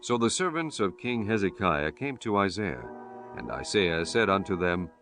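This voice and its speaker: A male narrator reads scripture in a lowish voice. His delivery is formal and measured, as in an audiobook, with soft music behind him. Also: big pitch swings. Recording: music under the voice.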